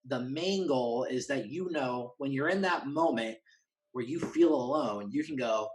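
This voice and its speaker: A man with a mid-pitched voice speaks as a guest in a candid, casual conversation. His delivery is earnest and halting, with phrases restarted and thoughts often voiced as little quotes. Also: sing-song. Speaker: podcast guest.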